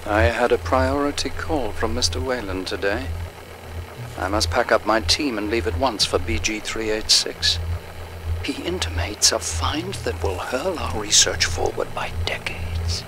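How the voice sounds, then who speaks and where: Low-voiced formal researcher, noisy log. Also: male voice, unhurried. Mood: purposeful. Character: formal, purposeful research team leader. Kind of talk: game audio journal acting. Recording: quiet, noisy audio log.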